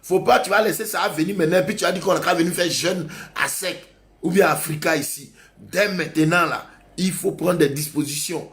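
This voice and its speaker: Male pastor preaching, kinda high voice, keeps hammering the same point over and over. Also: normal speed, a bit bright. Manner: emphatic, repetitive exhortation. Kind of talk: sermon.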